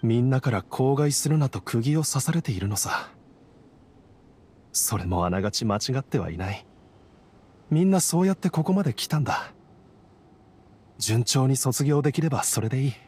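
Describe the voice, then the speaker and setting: A man's voice: medium pitch, expressive, moderate pace, long pauses, a little mellow, slightly rough. A man playing a resigned, overworked graduate student in a Japanese game, speaking in a subdued way.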